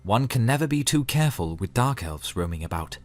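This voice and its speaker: Man playing an earnest, animated young swordsman. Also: medium pitch, fairly quick, close to an everyday voice. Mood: wary. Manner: earnest, courteous. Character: earnest, polite young male fantasy swordsman. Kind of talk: fantasy audio story character acting.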